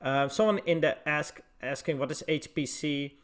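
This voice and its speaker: A man's voice: on the high side, normal speed. A man with a Dutch accent, from the Netherlands, casting a live esports match in a loose, chatty way with many filler words. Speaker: esports caster. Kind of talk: casual live stream commentary.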